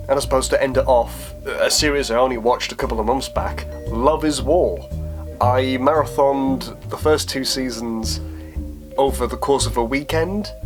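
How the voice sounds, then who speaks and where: A man in his twenties chatting casually to camera as he answers questions, with a wry, self-deprecating manner. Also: mid-range voice. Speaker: YouTube host answering viewer questions.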